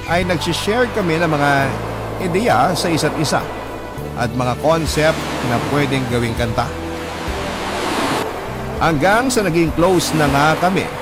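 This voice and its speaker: A man with a Filipino accent reading a scripted first-person love story as a narrator. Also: medium pitch. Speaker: narrator of a radio-style love story podcast. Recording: loud music bed under the voice. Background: loud music.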